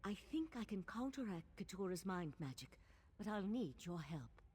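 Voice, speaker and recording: An actress playing an earnest, resolute female mage in a fantasy game, explaining things in a measured, serious way. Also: medium pitch, very animated, darkish tone, breathy edge. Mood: earnest, urgent. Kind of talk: fantasy game dialogue acting.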